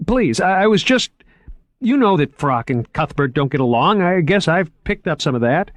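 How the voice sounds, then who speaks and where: A man reading a jumpy young curator who talks in a bright, high rush and goes up and down a lot. He is eager to please and stammers when flustered. The sound is dry and close. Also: moderate pace, no echo, close to an everyday voice. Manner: excitable, rising pitch, stammering when flustered. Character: eager nervous young male museum curator.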